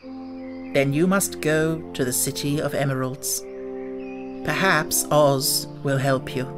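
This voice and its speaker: A little old witch lady from a children's storybook, acted out by a man. She is kindly and solemn, speaking in a gentle, reassuring manner. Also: on the high side, slow, over music. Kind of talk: audiobook character voice.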